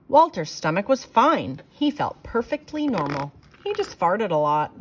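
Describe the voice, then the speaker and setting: A woman reading a children's picture book aloud in an expressive, emphatic storytelling style. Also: mid-range voice, measured pace, a little hiss or hum. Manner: animated, emphatic, dramatic phrasing for children.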